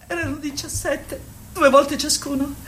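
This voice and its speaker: Anguished wartime survivor, high-voiced, dramatic. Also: female voice, fairly even intonation, normal speed, a bit bright, slight background noise. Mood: anguished, tearful. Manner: dramatic, swinging from shrill cries to low murmurs. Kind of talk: theatrical radio play monologue.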